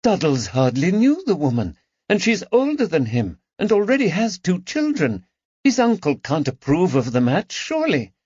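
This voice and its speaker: A man doing a soft-spoken, tactful woman. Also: high voice, wildly swooping pitch, moderate pace, slightly rough, dry recording, voice pushed up, heavily acted. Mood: gentle. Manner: soft and soothing. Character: gentle, tactful woman comforting a friend. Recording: slightly dull dry recording.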